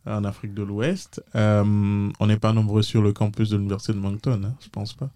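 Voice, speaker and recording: A man's voice: lowish voice, level tone, fairly quick, warm tone, dry recording. A man chatting casually as a radio director and guest. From Togo. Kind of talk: casual chat.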